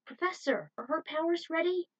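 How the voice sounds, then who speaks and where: A woman's voice: very high-pitched, lively intonation, moderate pace, muffled recording, pitched above the performer's own voice. A woman voicing a young male squad leader who gives urgent, encouraging orders in an action story. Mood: commanding, encouraging. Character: commanding young male squad leader rallying his troops.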